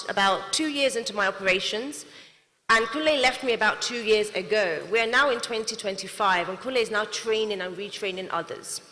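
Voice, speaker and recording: Bright-voiced woman speaking in a reverberant hall. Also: a little high. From not Nigeria. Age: young adult. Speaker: CEO and manufacturer giving a talk. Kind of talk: prepared speech to an audience.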